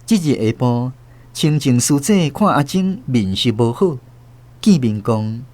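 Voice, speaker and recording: Lively male Taiwanese storyteller. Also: mid-range voice, a little slow, no room sound. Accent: Taiwanese Hokkien. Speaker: host and narrator. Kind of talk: dramatic storytelling narration of radio drama.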